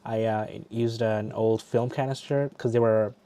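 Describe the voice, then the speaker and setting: A man's voice: on the low side, fairly even intonation, unhurried, warm tone, clear, resonant tone. A middle-aged man from Bangladesh hosting a casual live stream, chatty and loose, often restarting his sentences. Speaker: livestream host. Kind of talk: casual chat.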